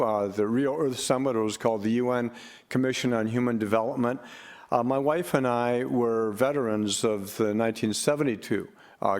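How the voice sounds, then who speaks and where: An older man giving an unscripted conference panel talk, halting and rambling, with frequent hesitations. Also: on the low side, a little mellow. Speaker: panel speaker for a relief organization.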